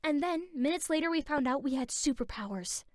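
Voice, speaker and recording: Woman as a high, lively, conscientious cartoon girl. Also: brisk, no room sound, studio quality. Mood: earnest explaining. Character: conscientious chatty superhero girl, explains earnestly. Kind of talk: cartoon voice acting.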